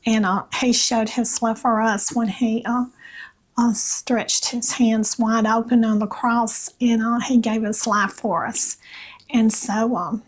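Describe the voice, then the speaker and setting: A woman with a Southern US accent and a slightly raspy voice, chatting casually about faith in a gentle, halting way with pauses. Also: on the high side, flat delivery, low-quality recording. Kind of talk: casual devotional chat with read-aloud passages.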